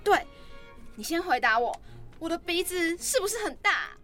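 A woman's voice: very high-pitched, sing-song, moderate pace, fairly bright tone, full character voice. A woman playing an insecure, defensive college freshman in a radio drama, with music behind her. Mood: anxious.

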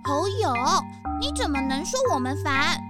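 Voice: high-pitched, sing-song, normal speed. An actor voicing an eager, giggly, cutesy little townsperson in a children's audio drama, with drawled sentence endings. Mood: cutesy pleading. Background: loud tinkling children's music.

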